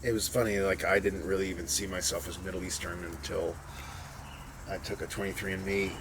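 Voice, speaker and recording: Low-voiced young man, rambling casually. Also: fairly even intonation, a little fast. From suburbs of Boston, USA. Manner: rambling and reflective, with many restarts. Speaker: interview guest. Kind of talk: casual conversation. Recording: noisy home recording.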